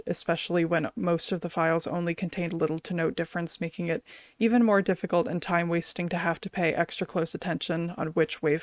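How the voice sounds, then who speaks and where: A woman's voice: fairly low pitch, no room sound. A woman giving casual, off-the-cuff commentary as the host of a music reaction video. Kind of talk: casual reaction commentary with some reading aloud.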